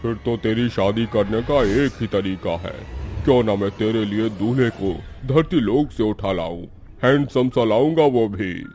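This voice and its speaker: A man's voice: on the low side, a little slow, darkish tone, lightly acted. A man voicing an indulgent middle-aged ghost father in a cartoon, fond and matter-of-fact. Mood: encouraging. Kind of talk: Hindi cartoon dubbing.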